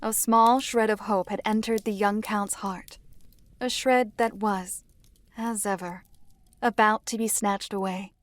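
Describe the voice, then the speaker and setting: Female narrator for a dark story game, medium pitch, slow and measured. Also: fairly even intonation. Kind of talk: scripted dramatic narration.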